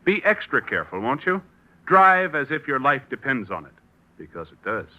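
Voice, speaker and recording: A man's voice: mid-range voice, sing-song, normal speed. A man working as a radio announcer, reading a script in an emphatic, formal 1940s style. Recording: muffled old radio recording, music behind.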